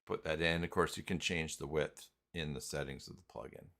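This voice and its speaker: Deep-voiced, gravelly man thinking aloud. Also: steady tone, a bit bright. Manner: halting, thinking aloud, muttering to himself. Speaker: live stream host, software tutorial presenter. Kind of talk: casual unscripted screen-share walkthrough.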